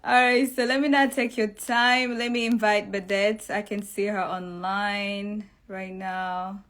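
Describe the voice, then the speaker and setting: A woman's voice: medium pitch, fairly even intonation, unhurried, a bit bright. A woman hosting a casual online live chat. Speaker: host of a live online talk.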